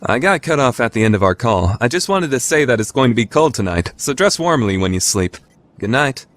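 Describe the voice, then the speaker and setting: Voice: medium pitch, very animated, a little fast, lightly acted. An actor playing an earnest, composed teenage boy, a student council president, in an anime fandub radio play. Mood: gently caring.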